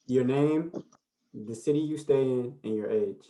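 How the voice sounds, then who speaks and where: Young man, workshop presenter, easygoing, filler-heavy, mid-pitched voice, video call. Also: a little slow, warm tone, low-quality recording. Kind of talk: relaxed workshop facilitation.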